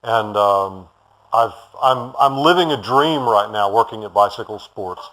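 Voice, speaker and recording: A man's voice: lowish voice, a little slow, a bit bright, low-quality recording. A man from Houston whose first language was German, answering interview questions in a friendly, polite and rambling way with fillers. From Houston, Texas. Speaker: bicycle shop owner, guest.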